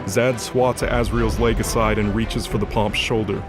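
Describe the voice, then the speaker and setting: A man's voice: lowish voice, steady tone, normal speed. A man narrating an audio drama in a dramatic scripted style, with music behind him.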